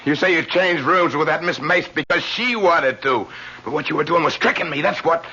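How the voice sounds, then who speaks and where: An actor playing a tormented, self-pitying killer in an old-time radio mystery, repeating phrases insistently. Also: male voice, fairly high pitch, moderate pace, bright, forceful tone. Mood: bitter rage. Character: tormented serial killer lodger, self-pitying, bitter and unhinged. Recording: dull lo-fi radio recording with hiss.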